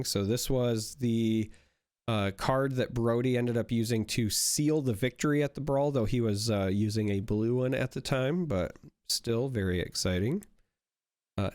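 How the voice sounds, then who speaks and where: A man's voice: fairly low pitch, clear, resonant tone, no echo, clean studio sound. A young man chatting casually while opening card packs, rambling with lots of fillers and restarts, his voice still a little worn from being sick. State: recovering from illness, voice not fully back. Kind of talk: casual pack-opening commentary.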